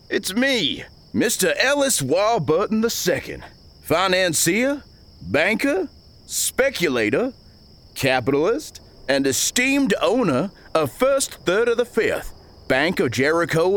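Fussy old frontier banker, high and all over the place, slow and flowery. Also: male voice, very animated, a bit bright, full character voice. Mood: pompous. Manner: flowery, long-winded, ingratiating. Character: pompous older male frontier banker.

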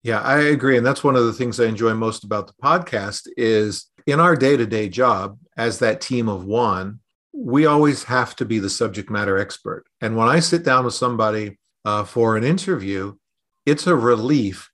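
A middle-aged man speaks in a mid-range voice in a conversational podcast interview. He explains his points thoughtfully, sometimes halting to find the right word. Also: medium pitch, fairly bright tone. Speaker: podcast host and resilience consultant.

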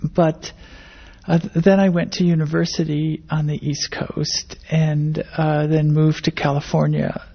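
An older American woman with a husky, creaky voice gives conversational interview answers. The pitch moves little and the phrasing is thoughtful and hesitant. The recording is dull and lo-fi. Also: level tone, measured pace. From grew up in Indonesia and the Philippines, American-born. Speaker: interviewee, academic biologist.

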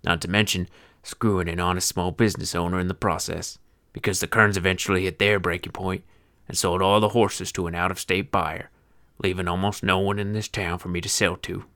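A man voicing a bitter, foul-mouthed old male feed seller in his sixties, telling his tale with a deep voice, an old-time American Western twang and a sardonic, grumbling manner. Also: little pitch movement, brisk, fairly bright tone, dry recording, clean studio sound. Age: 60s. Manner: grumbling, sardonic, swears freely. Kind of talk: first-person storytelling narration.

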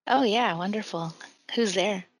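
A woman with an ordinary mid-range voice conducts an easygoing interview. She asks open, inviting questions and keeps the guest talking with short, warm replies. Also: medium pitch, measured pace, no echo. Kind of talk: casual interview.

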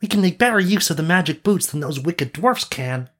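A man voicing a clever, brisk adventurer in a storybook, with old-fashioned, formal wording. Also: on the high side, animated, fairly bright tone, voice pushed up. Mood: urgent.

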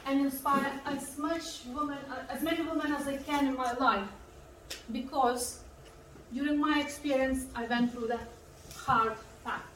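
Lithuanian woman giving inspiring talk about her life, really high voice, echoey room. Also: steady tone, measured pace, a bit bright. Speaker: conference speaker. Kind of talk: personal motivational speech. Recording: echoey hall, distant mic, faint noise.

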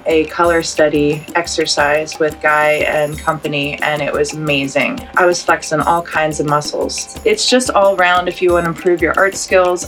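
Low-voiced woman chatting casually and informally. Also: fairly bright tone. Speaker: podcast host and tattoo artist. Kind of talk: casual interview chat.

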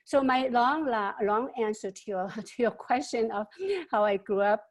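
A woman, giving an interview answer about her life. She speaks haltingly, with many fillers and repeated words. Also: on the high side. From China. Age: 70s.

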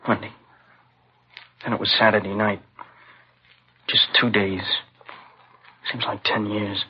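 An actor playing a shaken, exhausted young student witness in a 1950s radio drama, halting and subdued. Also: male voice, lowish voice, level tone, slightly rough. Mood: shaken, recounting. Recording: dull old radio recording, faint noise.

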